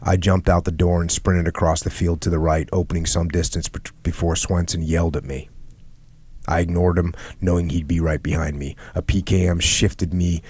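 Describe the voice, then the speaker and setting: Very deep, flat-voiced man reading aloud. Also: normal speed, husky, dry recording. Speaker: podcast host reading a war memoir aloud.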